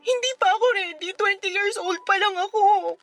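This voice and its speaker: A woman's voice: very high-pitched, normal speed, fairly bright tone, faint music behind. A Filipino woman acting as a distressed, insecure twenty-year-old daughter, speaking plaintively in a melodramatic radio drama. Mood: anxious. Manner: plaintive, pleading.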